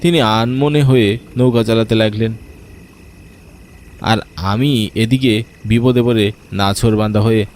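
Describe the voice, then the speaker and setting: A man's voice: lowish voice, fairly even intonation, a little slow, pauses often, darkish tone. A man telling a scripted first-person horror story as a dramatic storyteller.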